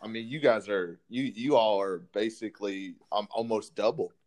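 A man hosting a podcast interview, supportive and hesitant, with many pauses and fillers. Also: lowish voice, darkish tone. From United States. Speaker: podcast host.

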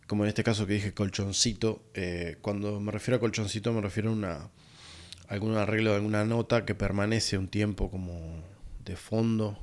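A man hosting a solo podcast, casually reviewing an album and often pausing to find his words. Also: fairly low pitch. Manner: relaxed and rambling, many pauses to find words.

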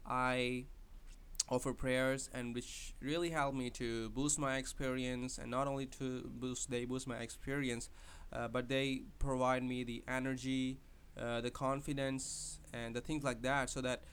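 Hesitant non-native English man, smooth voice. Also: mid-range voice, flat delivery, a little slow, a bit bright. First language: not English. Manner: hesitant, many fillers and restarts. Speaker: podcast host. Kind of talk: casual spoken advice monologue.

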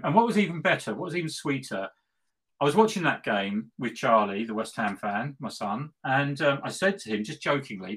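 Middle aged bloke on a footy podcast, bright punchy voice, gleeful, bit tongue in cheek. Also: male voice, mid-range voice, slightly rough, low-quality recording. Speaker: football podcast co-host and West Ham fan. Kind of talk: casual football chat.

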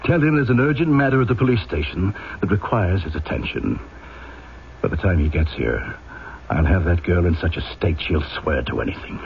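Radio drama antagonist: cold interrogating inspector, lowish voice, animated inflection, sardonic, muffled old sound. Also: male voice, brisk. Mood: scheming, brisk. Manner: clipped, sardonic, domineering. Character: cold scheming police inspector, stern and interrogating. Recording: dull, noisy old radio recording.

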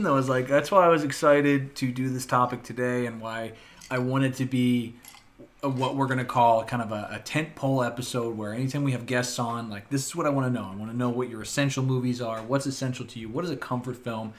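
A man's voice: mid-range voice, a little fast. A man co-hosting a casual podcast who laughs often and speaks reflectively. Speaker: podcast co-host. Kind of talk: casual chat.

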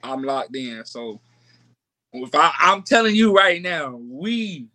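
Twenty-something guy, slightly high bright voice, relaxed slang, lots of restarts. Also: takes it slowly. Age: 20s. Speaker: guest. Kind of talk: casual chat.

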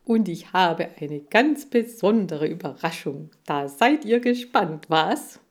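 Woman as male TV salesman, showy, drawn-out words, loud, boastful, children's audiobook. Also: on the low side, lively intonation. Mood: pompous, promotional. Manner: boastful, drawn-out showman delivery. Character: pompous, mustached advertising salesman on television.

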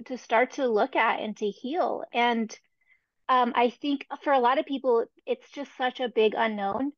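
Slow, earnest woman podcast host. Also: on the high side, no echo. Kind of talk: podcast interview. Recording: dry, dull lo-fi sound.

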